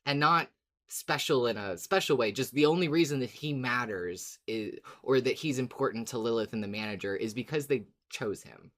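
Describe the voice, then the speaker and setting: Casual, wry podcast co-host, mid-pitched voice. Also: normal speed, a bit bright. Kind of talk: casual fan discussion podcast.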